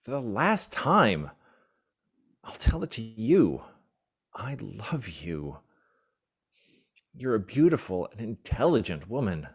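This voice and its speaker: Low-voiced, wry, exasperated lover played by an actor. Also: male voice, sing-song, takes it slowly, long pauses, breathy edge, heavily acted. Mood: tender, wry. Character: exasperated, wry, loving boyfriend of a stage diva. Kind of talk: radio play acting of classic film dialogue.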